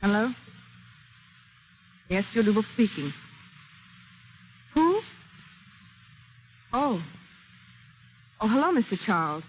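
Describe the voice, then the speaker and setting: An actress playing a cool, guarded secretary in a vintage radio crime drama. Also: female voice, on the high side, sing-song, slow, pauses often. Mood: guarded, polite. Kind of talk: 1930s radio drama acting. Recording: tinny vintage radio, faint noise.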